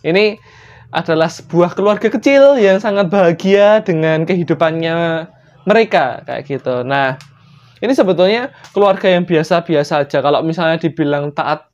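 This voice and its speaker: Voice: medium pitch, moderate pace, highs cut off. A young adult with a Javanese-influenced Indonesian accent improvises a story casually, with playful, joking asides. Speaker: storyteller, channel host.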